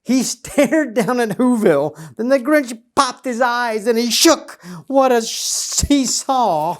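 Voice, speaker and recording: A man reads a storybook to children in a theatrical, emphatic way. His pitch swings widely and his pacing is slow and deliberate. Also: animated. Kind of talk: animated children's storybook reading.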